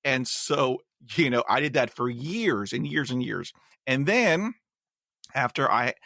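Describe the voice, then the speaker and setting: A man hosts a casual podcast chat and tells his own story at a very slow, unhurried pace. He stutters, repeating sounds and stalling on words. The sound is dry and close, with no room echo. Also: medium pitch, fairly bright tone. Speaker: podcast host.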